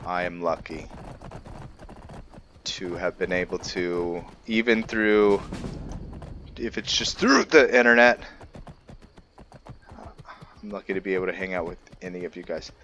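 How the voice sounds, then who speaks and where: Slow-talking, low-voiced man gaming. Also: bright, forceful tone, a little hiss or hum. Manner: rambling, informal, talking to viewers. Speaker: gaming video host. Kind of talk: casual gameplay commentary.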